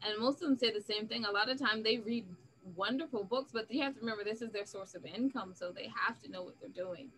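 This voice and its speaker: A woman hosts a casual interview. Her voice is bright and forceful and a little high. She chats playfully, with a self-mocking streak. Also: fast talker, poor audio. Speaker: interview show host.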